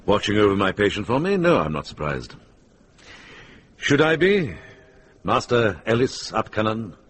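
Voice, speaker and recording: An actor playing a wise, earnest, middle-aged monk and former soldier in a radio drama. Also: male voice, on the low side, very animated, a little slow, slight background noise. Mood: calm, knowing.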